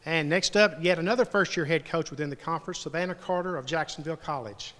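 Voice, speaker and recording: A man makes introductions as an event announcer. He talks quickly in a fairly high voice for a man. The sound echoes with long reverb in a hall. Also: fairly bright tone, slight background noise. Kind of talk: introducing speakers.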